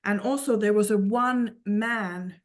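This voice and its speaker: A woman from Finland with a Finnish accent, giving an earnest personal testimony as an interview guest, in halting phrases with repeated words. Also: mid-range voice.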